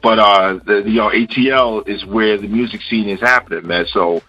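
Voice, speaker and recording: A deep-voiced male radio host from Queens, New York with a bright, forceful tone. He talks in a loose, casual way and repeats his phrases. The recording sounds dull and lo-fi, with faint music underneath. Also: moderate pace, slightly rough. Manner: casual, repeats phrases and says "you know" often. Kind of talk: radio interview.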